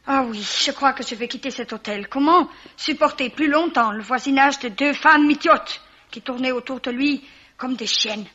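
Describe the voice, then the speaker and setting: A nervous, embittered foreign woman living in a hotel. She speaks in a fairly high voice, brusque and agitated, in a radio mystery play with a muffled, lo-fi sound. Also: normal speed, bright, forceful tone. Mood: bitter, grieving.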